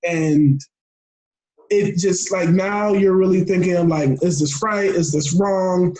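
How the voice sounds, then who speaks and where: High-pitched young American man, rambling. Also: takes it slowly, a little mellow, poor audio. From Florida, United States. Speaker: podcast guest. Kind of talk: casual interview talk.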